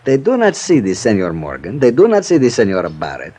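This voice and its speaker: Philosophical police captain, Latin American Spanish accent, broken English, courteous, swooping melodic delivery, vintage radio acting. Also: male voice, on the low side, wildly swooping pitch, fast talker, mellow, slightly rough, heavily acted. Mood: wry, courteous. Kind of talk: 1950s radio drama acting.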